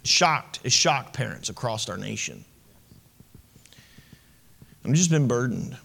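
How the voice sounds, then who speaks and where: A man's voice: mid-range voice, sing-song, slow pace, vocal fry, a bit bright, breathy edge, long reverb tail. A man in his late thirties, preaching a sermon as pastor, impassioned and conversational with asides to the congregation. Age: 30s.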